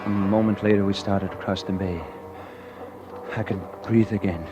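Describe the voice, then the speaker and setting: A man's voice: on the low side, steady tone, moderate pace, highs cut off, natural voice. An actor playing a cold, calculating murderer who narrates his own crime in a confiding, suspenseful 1940s radio thriller style. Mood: confiding, smug. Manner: confiding, suspenseful, hard-boiled. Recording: vintage radio recording with dramatic music.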